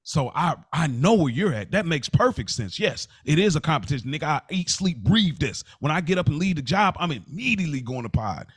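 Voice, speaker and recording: A man's voice: fairly high pitch, big pitch swings, brisk, hardly any pauses, husky. A man co-hosting a podcast in casual debate, making points emphatically and answering his own questions. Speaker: podcast co-host.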